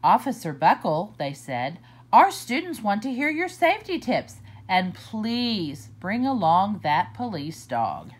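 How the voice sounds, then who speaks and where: A woman reading a children's picture book aloud for story time, slow and deliberate with expressive swoops. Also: mid-range voice, slightly rough.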